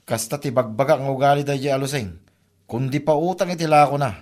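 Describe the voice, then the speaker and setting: A man's voice: mid-range voice, fast, a little mellow, close to an everyday voice. A man playing a plain-spoken husband who chides and advises his wife in a comedy radio drama. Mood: admonishing.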